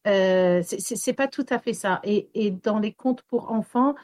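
A woman's voice: mid-range voice, a little slow, a little mellow, dry recording, studio quality. Hesitant woman raised in Wales. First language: English. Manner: hesitant, many fillers and restarts. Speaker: storyteller and singer. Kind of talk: radio interview answers.

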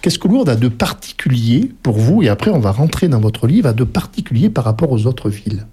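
A male radio host leads an interview, chatty and eager, breaking in with his own remarks. His voice has a slightly husky grain and swings up and down in pitch. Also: sing-song, a little fast, dark tone. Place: radio studio.

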